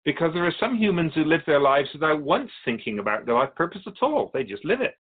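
A man playing a calm otherworldly spirit guide in a radio drama, explaining things in a measured, quizzical way as an interview guest. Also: on the high side, phone-quality sound. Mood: patient teaching. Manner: measured, explanatory, quizzical about human speech.

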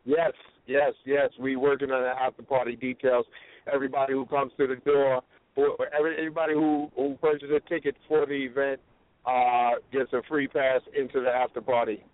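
A man's voice: medium pitch, flat delivery, brisk, dry recording. A man speaking as a comedian guest in a casual radio interview, slangy and hyping, repeating phrases for emphasis. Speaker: comedian guest promoting live shows. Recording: tinny phone line, dry.

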